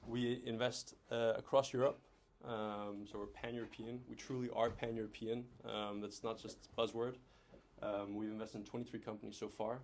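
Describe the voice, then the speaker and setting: A man's voice: lowish voice, flat delivery, moderate pace, a little hiss or hum. A man in his thirties from Denmark, giving an informal, self-deprecating presentation as an executive and guest speaker, with hesitant phrasing. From Denmark, raised across several countries. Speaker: venture capital COO, guest speaker.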